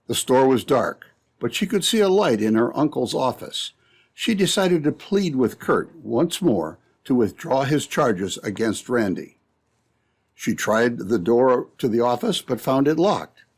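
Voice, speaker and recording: Man, scripted old-time radio narration, husky tone, varied animated pitch. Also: a little slow.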